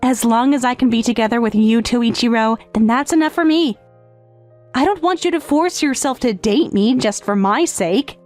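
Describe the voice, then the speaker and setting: High-voiced coy young step-sister played by a woman. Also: moderate pace, a little mellow, close to an everyday voice. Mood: earnest, meek. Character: devoted, teasing, clingy young step-sister. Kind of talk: English manga dub romantic comedy acting. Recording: music behind.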